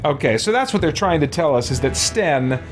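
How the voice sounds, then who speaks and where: A man's voice: a little high, animated, fairly quick, over music. A man giving casual gameplay commentary, thinking aloud in a rambling, musing way. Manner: rambling, musing, trails off into side thoughts. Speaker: gaming streamer, let's player.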